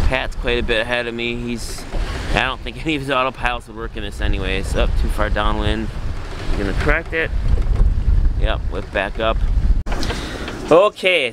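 A middle-aged man filming himself on a sailboat and a marina dock, talking in a relaxed, rambling way as he thinks aloud. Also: medium pitch. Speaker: solo sailor vlogger. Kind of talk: casual self-filmed vlog. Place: outdoors on a sailboat and a marina dock in strong wind. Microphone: handheld camera close to the face. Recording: wind noise on a camera mic. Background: howling wind and water.

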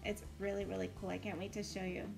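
A woman's voice: mid-range voice, level tone, fast talker, creaky, music in the background. A woman giving casual, encouraging commentary as host of a game build tour.

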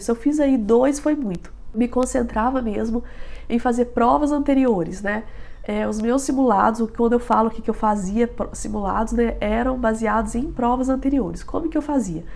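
A woman's voice: on the high side, creaky. A young woman in her twenties with a nasal, stuffy voice from a head cold, chatting casually to camera in a small bedroom, giving study advice with frequent filler tags. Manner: chatty, lots of filler tags, animated hand gestures. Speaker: vlogger.

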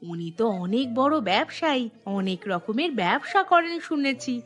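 A woman playing a practical, chatty village housewife in a Bengali cartoon, speaking in an expressive, homely way. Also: fairly high pitch, a little slow, faint music behind.

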